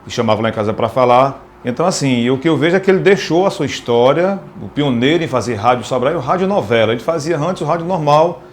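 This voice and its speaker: A man with a Northeastern Brazilian accent reminiscing in an interview, haltingly, often repeating words as he searches for them. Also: mid-range voice, expressive. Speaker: radio presenter, interviewee. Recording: noticeable background noise, ambient sound.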